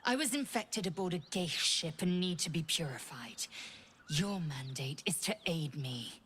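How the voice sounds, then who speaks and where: Low, proud alien warrior woman. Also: expressive, a little slow, fairly bright tone, a little hiss or hum. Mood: defiant. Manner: clipped, imperious. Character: proud, regal young Githyanki warrior woman, defiant and terse. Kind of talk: dramatic fantasy video game acting.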